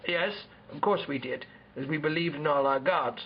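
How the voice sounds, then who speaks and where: A man playing a proud, solemn ancient Spartan king who speaks formally and deliberately in an amateur role-play interview. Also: a little high, husky, highs cut off.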